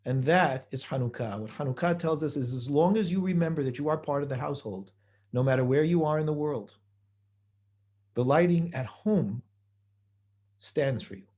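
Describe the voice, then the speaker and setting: Man giving a measured holiday sermon. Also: on the high side, darkish tone. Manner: measured, with rhetorical questions and repeated phrases. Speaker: rabbi giving a holiday talk.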